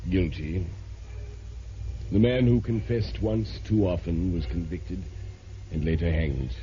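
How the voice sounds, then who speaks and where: An actor playing a grave, knowing narrator who tells crime tales with dramatic moralizing in old-time radio style. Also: male voice, deep voice. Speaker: narrator and host. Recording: muffled vintage radio recording. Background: loud music.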